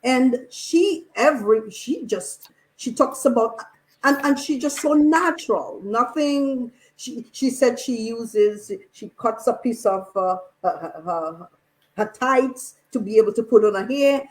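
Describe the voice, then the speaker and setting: A woman guest on a radio panel who runs an online shop, speaking with enthusiasm and many halting restarts. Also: a little high, low-quality recording.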